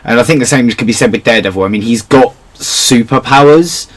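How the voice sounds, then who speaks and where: A man's voice: mid-range voice, brisk. A man hosting a podcast in a casual discussion, giving his opinions in an analytical way. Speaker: podcast host.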